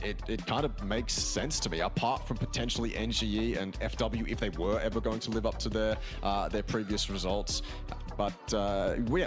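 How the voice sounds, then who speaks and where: A man working as an esports commentator, reasoning aloud through conversational post-match analysis. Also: lowish voice. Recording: music behind the voice. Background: background music.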